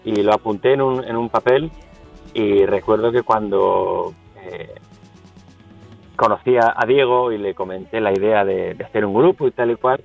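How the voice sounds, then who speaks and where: A man from San Sebastián, a musician guest in a casual radio interview, talking haltingly with many restarts. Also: fairly low pitch, creaky, lo-fi sound, faint music behind. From Venezuela, raised in San Sebastián.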